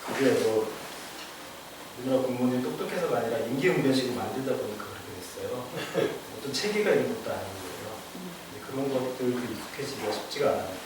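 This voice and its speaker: A man addresses a forum audience in a relaxed, conversational manner, checking in with them as he explains. He sounds far from the microphone. The room echoes heavily, and steady background noise is clearly heard. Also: medium pitch, fast talker. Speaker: forum speaker. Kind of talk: public lecture.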